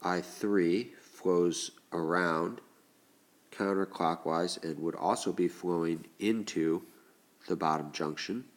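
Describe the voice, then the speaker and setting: A male teacher with a stuffed-up, congested voice, slowly explaining a lesson step by step as he writes. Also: low-pitched. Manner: slow, deliberate explaining while writing. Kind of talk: recorded classroom lesson.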